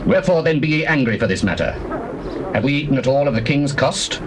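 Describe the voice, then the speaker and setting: A man's voice: medium pitch, animated, fast talker, background noise, lightly acted. An actor playing a testy ancient tribesman giving rhetorical retorts in a dramatized scripture reading. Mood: defensive, testy. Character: testy spokesman of the men of Judah. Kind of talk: dramatized scripture reading, theatrical classical acting.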